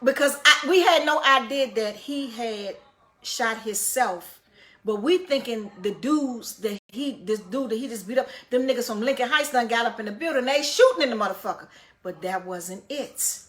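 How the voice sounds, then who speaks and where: Woman, loud voice, fairly high pitch, profane animated storyteller, casual vlog delivery. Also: normal speed. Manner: repeats phrases for emphasis. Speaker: vlogger telling a personal story.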